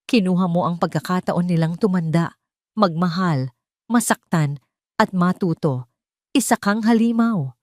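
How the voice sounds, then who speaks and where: A woman's voice: lowish voice, a little slow, pauses often, a little mellow, no echo, studio quality, natural voice. A woman voicing an angry, defiant young female captive in an audio story, accusing and emphatic. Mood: angry, tearful.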